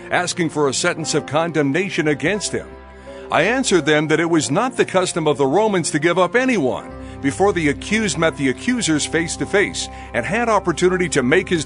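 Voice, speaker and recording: An actor playing a formal, commanding Roman governor in a dramatized audio Bible, speaking in an oratorical manner. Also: male voice, fairly high pitch, fairly bright tone.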